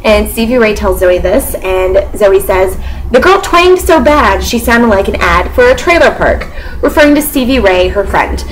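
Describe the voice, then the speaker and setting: Young woman, casual book reviewer with a mostly neutral Midwestern accent, sarcastic and rambling, mid-pitched voice, slight noise in the recording. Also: lively intonation, normal speed, poor audio. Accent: mostly neutral American, sometimes Southern. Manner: sarcastic, laughs often, many fillers.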